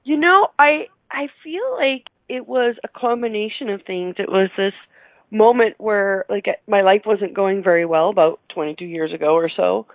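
A middle-aged woman from Canada chatting casually and effusively as an interview guest, playful and quick to laugh. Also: mid-range voice, fairly even intonation, dry recording. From Alberta, Canada. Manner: effusive, playful, laughs often while talking. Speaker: interview guest, radio show host. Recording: narrow, phone-like line.

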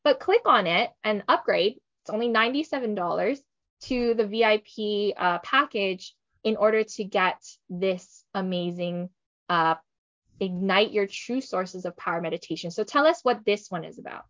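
A woman's voice: mid-range voice, normal speed, fairly bright tone, muffled recording. A woman hosting an online summit in a bubbly, chatty way, laughing often. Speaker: summit host.

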